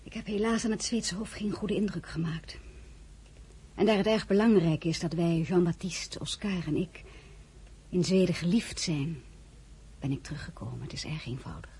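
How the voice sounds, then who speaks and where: An actress playing a shy yet defiant princess in a radio drama, answering politely and pointedly. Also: female voice, on the low side, normal speed, slightly rough. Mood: meek, firm. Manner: polite, quietly pointed replies. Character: shy yet defiant princess, a marshal's wife at Napoleon's court. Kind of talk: Dutch radio drama dialogue.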